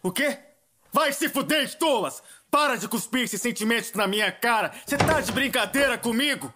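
A man's voice: very high voice, animated, moderate pace, noisy background. A man with a Brazilian accent voicing a crude, volatile little demon imp in a dubbed adult cartoon, brash and emotional. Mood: furious, hurt. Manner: brash, foul-mouthed, emotional.

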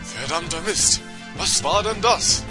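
Blustering knight acted by a man, slightly high voice, indignant, audio drama over clear music. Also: lively intonation, slow. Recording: music behind the voice.

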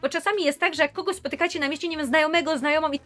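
A young woman vlogging to camera in a small room, telling a story in an animated, chatty way. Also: sits high, racing. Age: young adult. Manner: animated, chatty, gesturing with her hands.

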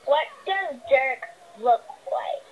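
A woman's voice: sits high, expressive, slow pace, dull audio. A young girl leaving a question for a radio show as a phone-in caller. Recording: phone message replayed, some noise.

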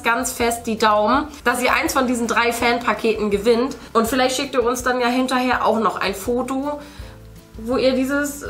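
A woman in her thirties with a fairly high voice talks casually to children watching her video. She explains things directly to them as a family channel host. The room adds clear echo, with some music behind her. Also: a bit bright. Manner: speaks to children, addressing viewers directly. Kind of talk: casual chat, explaining to viewers while painting. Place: indoor room at a table. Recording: roomy sound, faint music.

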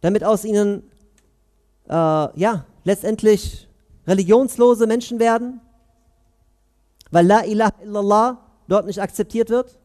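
Man, 30s, imam's Friday sermon; earnest, questioning delivery, high voice, headset mic. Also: darkish tone. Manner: earnest, questioning, pauses between phrases. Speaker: imam giving Friday sermon. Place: mosque prayer hall, indoors.

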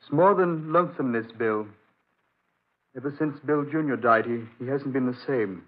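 An actor playing a genial but evasive, weary country doctor with a halting way of talking, in 1940s radio drama style. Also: male voice, mid-range voice, lightly acted. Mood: worried, subdued. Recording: tinny, noisy old radio recording.

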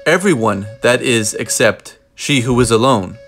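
A man's voice: medium pitch, expressive, unhurried, a bit bright. Man reading a storybook aloud, music behind. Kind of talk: expressive children's picture-book read-aloud.

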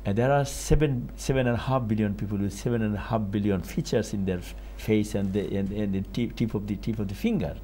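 An older man from Bangladesh, speaking as an author on a TV panel in a studio, measured and rising for emphasis. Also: fairly low pitch, warm tone, slight background noise. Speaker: author guest. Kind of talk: TV panel discussion. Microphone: lapel.

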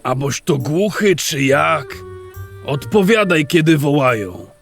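A man playing a wary, grumbling old dwarf thief in an audio drama, with a gravelly voice and rustic, archaic dialect. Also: a little high, sing-song, takes it slowly, fairly bright tone, faint music behind, exaggerated voice. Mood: grumpy. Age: older.

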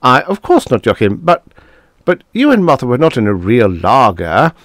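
A man's voice: mid-range voice, huge pitch swings, moderate pace, a bit bright, no room sound, clean studio sound, pitched above the performer's own voice. A man voicing a stout, jovial older male professor who talks in a hearty, overbearing way, chuckling and pushing his point. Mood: insistent.

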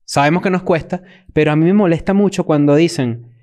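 A man's voice: a little high, a little fast. A man in his thirties with a Caracas Venezuelan accent, co-hosting a casual podcast chat in a small studio. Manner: animated, gestures while talking. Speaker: podcast co-host. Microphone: studio mic on boom arm.

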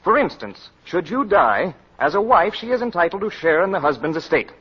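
An unflappable lawyer friend in a vintage radio comedy. His voice is husky and a little high, formal and courteous. The old recording sounds muffled. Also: big pitch swings, brisk, in a large hall, full character voice. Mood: calmly formal. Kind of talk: 1940s radio comedy acting.

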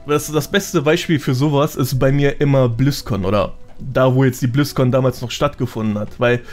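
A man's voice: medium pitch, steady tone, normal speed, darkish tone. A man talking as a podcast guest in casual, slangy, colloquial conversation. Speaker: podcast guest, gaming YouTuber.

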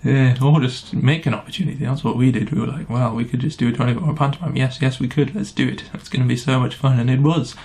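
A man's voice: medium pitch, fairly even intonation, quick pace, hardly any pauses, darkish tone. A man chatting casually to camera in a wry, self-deprecating way. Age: 20s. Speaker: amateur pantomime performer. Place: small room indoors. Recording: clearly noisy room sound.

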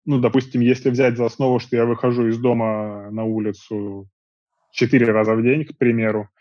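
Man, thirties, relaxed rambling talk, flat dry sound, muffled call audio. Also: medium pitch, brisk, no echo. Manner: relaxed, talks in long rambling stretches with fillers. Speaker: interview guest. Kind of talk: casual video call chat. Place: small room at home. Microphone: earbuds on a video call.

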